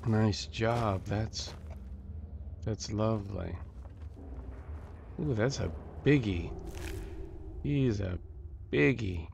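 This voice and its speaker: A man's voice: lowish voice, drawn-out words, long pauses, darkish tone. A man giving casual, improvised gameplay commentary, muttering playfully to himself. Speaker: gameplay host. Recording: clear background noise.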